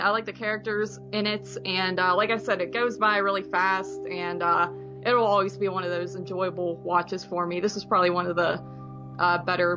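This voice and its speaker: Woman on a movie podcast just chatting casually, bit lo-fi sound, music playing behind her. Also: on the low side. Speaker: podcast co-host. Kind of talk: casual movie review chat.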